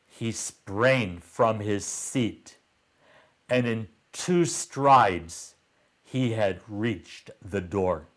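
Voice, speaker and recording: A man reading a children's story aloud in a deliberate way, pausing between short phrases. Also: on the low side.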